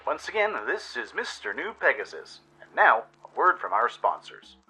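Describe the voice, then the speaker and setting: A man's voice: medium pitch, very animated, normal speed, sharp tone, husky, voice pushed up, heavily acted, low-quality recording. A man playing a slick wasteland radio announcer delivering sponsor messages. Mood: salesmanlike.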